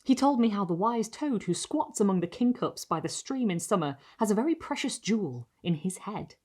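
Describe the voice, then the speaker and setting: A woman reading a gothic short story aloud, measured and expressive, lingering on phrases. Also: mid-range voice. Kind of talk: literary audiobook-style narration of gothic fiction.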